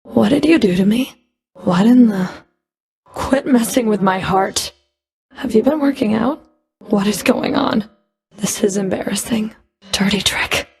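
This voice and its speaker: A woman playing a stern, scornful female Fury in a video game, curt, cool and commanding, with a breathy edge to her voice. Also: mid-range voice, a little slow, long pauses, darkish tone, faint music behind. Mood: irritated, unsettled. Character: stern, scornful female Fury, a whip-wielding underworld enforcer. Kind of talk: video game combat voice lines.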